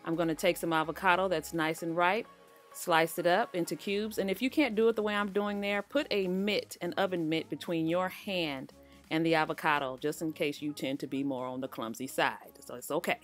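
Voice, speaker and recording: A woman hosting her cooking channel in a relaxed, chatty voice-over, talking straight to her viewers. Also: lowish voice, normal speed. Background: faint background music.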